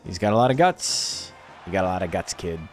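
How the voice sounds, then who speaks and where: Low-voiced man casually commentating a game. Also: big pitch swings, brisk, faint music behind. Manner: laid-back, wry, teasing chat. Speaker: streamer and tournament commentator.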